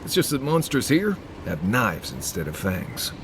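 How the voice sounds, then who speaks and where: Sardonic tough male companion, lowish voice, animated pitch, game dialogue. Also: unhurried, background noise, heavily acted. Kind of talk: video game voice acting.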